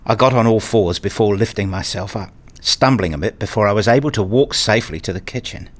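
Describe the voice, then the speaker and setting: A man's voice: on the low side, a little fast. A man reading scripted first-person narration for an audio play, slipping into acted dialogue as a father.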